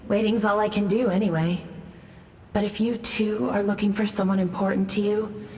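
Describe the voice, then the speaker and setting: A woman voicing a gentle, reflective ghost who has spent a long time waiting. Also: medium pitch, fairly even intonation, long reverb tail, lightly acted. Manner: slow, reflective.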